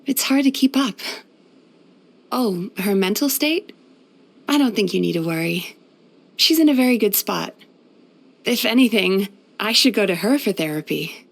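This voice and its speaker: A woman playing a gentle, composed yokai therapist in a video game, soft-spoken and measured. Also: medium pitch, lively intonation, gravelly. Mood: warm, amused. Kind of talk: video game character voice lines.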